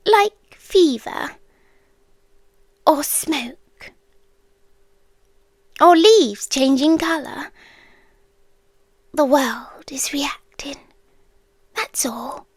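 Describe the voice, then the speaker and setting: Casting: British actress as ancient female apocalypse being for ASMR roleplay, high soft whispery voice, tender yandere calm, extremely slow delivery. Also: dry recording, exaggerated voice. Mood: calm, matter-of-fact. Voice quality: soft, breathy, often whispered. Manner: slow, deliberate, with long pauses. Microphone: close microphone, dry.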